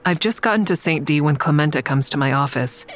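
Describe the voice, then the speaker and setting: A woman's voice: deep voice, animated, a little fast, a little hiss or hum. An actress playing a weary, guarded woman who narrates her own story in an audio drama, tired and introspective. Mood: weary, uneasy. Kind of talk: first-person audio drama narration and dialogue.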